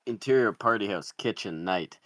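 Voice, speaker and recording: Casting: male script narrator, very low pitch, dry close recording. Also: expressive, normal speed, a bit bright, no echo. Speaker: narrator reading stage directions. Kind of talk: scripted narration at a film table read.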